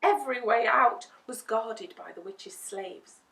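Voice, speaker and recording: A woman reading a children's storybook aloud with expressive narration. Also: fairly high pitch, unhurried, creaky, distant mic. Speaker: storytime reader. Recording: roomy echo, away from the mic.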